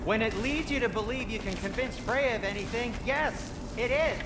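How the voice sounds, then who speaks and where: A man's voice: extremely high pitch, moderate pace, over music. A man playing a fussy, cautious, wry dwarf craftsman in a cinematic video game, speaking in an anxious, prim way. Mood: skeptical.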